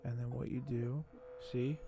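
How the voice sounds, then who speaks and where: A soft-spoken man hosting an ASMR unboxing, talking in a hushed, halting way that often nears a whisper. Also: mid-range voice, steady tone, creaky, background noise. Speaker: ASMR video host.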